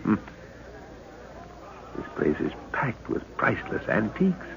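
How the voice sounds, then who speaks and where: A middle-aged actor playing a stern male father leading a jungle expedition in a 1930s radio drama, gruff, commanding and scornful. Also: on the low side, wildly swooping pitch, measured pace, a crowd in the background, exaggerated voice. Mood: tense. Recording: dull old radio recording with hiss.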